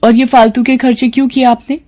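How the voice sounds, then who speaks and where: A woman voicing an affectionate, modest young wife in a moral story, gently questioning. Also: fairly high pitch, level tone, fairly quick, pitched above the performer's own voice, lightly acted. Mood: touched, gently chiding. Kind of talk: acted dialogue in a Hindi moral story.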